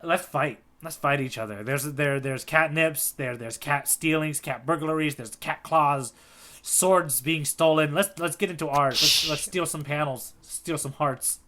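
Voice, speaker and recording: Male podcast co-host, bright and a bit high, jokey rambling banter. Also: measured pace. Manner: jokey, rambling, laughs at his own puns. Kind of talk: casual chat.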